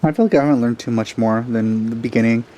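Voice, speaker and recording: A man's voice: mid-range voice, quick pace, dark tone, muffled recording, a little hiss or hum. A man giving casual let's play commentary in a dry, laid-back way.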